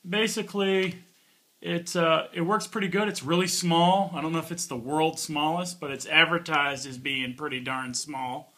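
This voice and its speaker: A man with a fairly high, bright and forceful voice talks through a piece of gear. He is informal and offhand, like a hobbyist reviewer showing how it works. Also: steady tone, normal speed. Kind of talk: casual hands-on product review.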